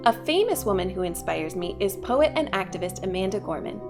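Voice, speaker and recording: A woman presenter reading a prepared script about inspiring women in a steady manner. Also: mid-range voice, fairly quick. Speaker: library staff presenter. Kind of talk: scripted narration. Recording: music playing underneath.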